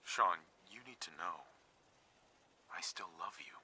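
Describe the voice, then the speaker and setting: A man playing a weary, guarded wasteland survivor in a video game, with quiet, terse, understated delivery. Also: deep voice, measured pace, breathy edge, heavily acted. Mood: tender, hushed. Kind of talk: dramatic video game dialogue.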